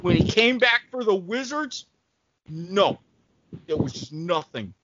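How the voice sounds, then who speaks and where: A man speaking as a podcast panelist in a casual debate, with a deliberate, emphatic and halting delivery. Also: high voice, lively intonation, highs cut off, noisy background.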